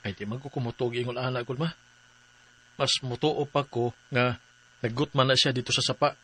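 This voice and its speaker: An actor with a Cebuano Filipino accent playing a troubled, devoted forty-year-old farmer in a radio drama. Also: male voice, mid-range voice, fairly even intonation, normal speed, sharp tone, slightly rough. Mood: worried. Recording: faint music bed.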